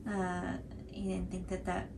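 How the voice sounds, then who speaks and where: A woman's voice: on the low side, monotone, measured pace. A woman, chatting casually to camera in a hesitant, self-deprecating way. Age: 20s. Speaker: cosplay channel host. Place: small room indoors on a couch. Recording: clearly audible background noise.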